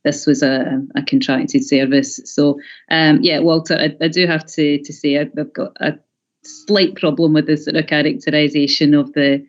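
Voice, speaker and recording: A local councillor making measured, diplomatic remarks in a formal meeting, choosing words hesitantly. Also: mid-range voice, little pitch movement. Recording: muffled video-call audio.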